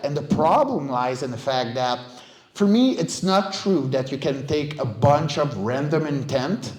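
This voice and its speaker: A man's voice: a little high, a little mellow. Middle-aged male speaker, conversational and emphatic conference delivery, roomy live sound. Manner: conversational, emphatic, often checks with listeners. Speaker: guest speaker, vocal stunt performer and director. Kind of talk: casual conference talk. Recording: roomy, echoey live talk recording.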